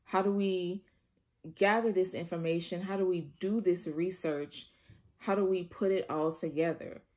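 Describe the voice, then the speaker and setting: Student reflection; New Orleans woman, earnest, lowish. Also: steady tone, a little slow, a little mellow. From New Orleans, Louisiana. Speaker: student researcher. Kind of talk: reflective testimonial.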